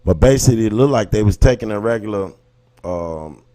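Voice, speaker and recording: A man's voice: fairly low pitch, animated, a little fast, mellow. A man with an American accent hosting a livestream, giving impassioned, colloquial commentary and punctuating his points with rhetorical questions. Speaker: livestream host. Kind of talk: impassioned casual commentary, talking to live viewers.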